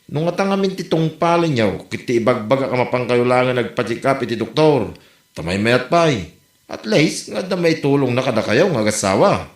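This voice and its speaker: A man's voice: medium pitch, big pitch swings, moderate pace, close to an everyday voice. A man with an Ilocano accent acting a teasing, exclamatory buddy in a comedy drama. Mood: teasing, animated. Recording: roomy echo.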